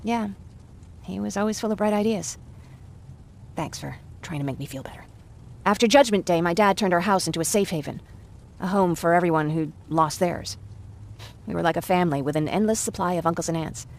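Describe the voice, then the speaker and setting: A woman's voice: fairly low pitch, a little fast, lightly acted. An actress playing an earnest, talkative young woman who survived a war, in a video game. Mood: wistful, chatty. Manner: candid, chatty. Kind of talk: naturalistic video game acting.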